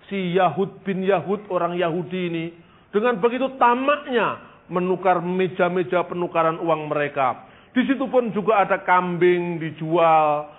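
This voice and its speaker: A man from East Java preaches in a high-pitched voice that comes through thin and band-limited. He teaches scripture and history like a storyteller, repeating phrases for emphasis and drawing out the words he stresses. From East Java, Indonesia. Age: 50s. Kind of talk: sermon with Bible storytelling and history lesson. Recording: tinny, band-limited speech recording.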